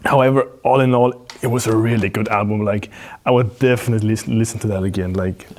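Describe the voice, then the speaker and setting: A man's voice: on the low side, steady tone, quick pace, a little mellow. Blunt, enthusiastic young man. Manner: blunt and enthusiastic, swears casually. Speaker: interviewee. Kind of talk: casual interview answer.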